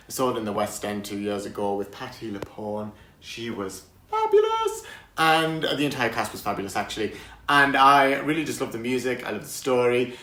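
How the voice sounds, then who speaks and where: Man, croaky voice, enthusiastic casual vlogger, echoey recording. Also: mid-range voice, fairly bright tone. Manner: chatty and enthusiastic, laughs often. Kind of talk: casual chat to camera. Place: outdoors on a windy day.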